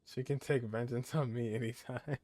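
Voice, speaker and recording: A man's voice: mid-range voice, fairly even intonation, moderate pace, a little mellow. A man doing casual gameplay commentary and reading dialogue choices aloud as he plays. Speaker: gamer playing through a story quest. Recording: game music behind the voice.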